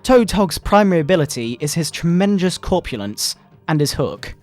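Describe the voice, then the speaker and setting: Man putting on a pompous, high-pitched fire-and-brimstone moral crusader. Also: sing-song, a little mellow, soft background music. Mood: scornful, righteous. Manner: pompous, melodramatic preaching with drawn-out words. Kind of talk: mock-dramatic satirical reading.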